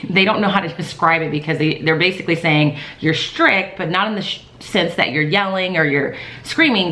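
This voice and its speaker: A woman in her thirties, a teacher, chatting casually in a calm, soft-spoken way with many fillers. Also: sits low. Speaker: teacher vlogger. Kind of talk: casual vlog talk. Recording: echoey room.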